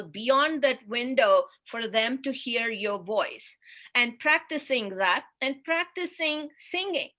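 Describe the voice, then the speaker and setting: A woman with an Indian accent giving a slow, deliberate, instructive webinar talk as a speech therapist over a video call. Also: on the high side, sharp tone. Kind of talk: webinar presentation.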